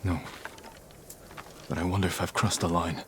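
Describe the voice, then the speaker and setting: A man playing a grim, resolute samurai in a video game, speaking in a measured and stern way. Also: sits low, level tone. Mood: grim resolve. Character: grim, resolute samurai avenger sworn to duty. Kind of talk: dramatic video game acting. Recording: noisy outdoor game ambience behind voice.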